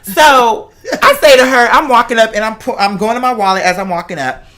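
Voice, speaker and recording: A woman's voice: fairly high pitch, fairly quick, a bit bright. An American woman chatting casually and telling stories in a sassy, emphatic, conversational way. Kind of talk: casual chat, animated storytelling.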